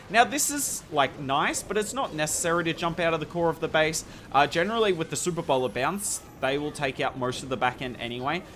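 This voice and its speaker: A man commentating a strategy game over footage, enthusiastic and conversational, with a slightly hoarse voice. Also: on the high side, a bit bright, a little hiss or hum. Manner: enthusiastic, conversational, points things out to viewers. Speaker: gameplay commentator.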